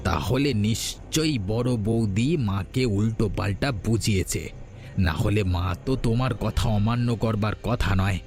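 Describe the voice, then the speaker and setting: A conspiratorial, easily led village husband acted by a man in a cartoon moral tale. He speaks in a low voice with a questioning, uneasy manner. Soft music is heard behind him. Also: normal speed, natural voice. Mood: suspicious. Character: complicit younger husband, anxious, easily led schemer.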